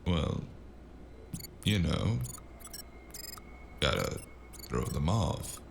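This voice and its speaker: A man playing a very deep gravelly noir investigator. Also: very animated, background noise, full character voice. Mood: wry. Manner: dry, sardonic, unhurried. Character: weary wisecracking noir investigator, a mysterious male stranger. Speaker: narrator and lead character. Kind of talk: hardboiled noir audio drama narration.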